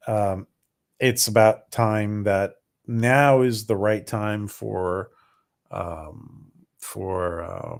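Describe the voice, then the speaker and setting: A man hosting a casual livestream, rambling with many ums and restarts as his voice starts to go hoarse. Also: sits low. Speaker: livestream host. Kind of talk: casual livestream chat reading viewer comments.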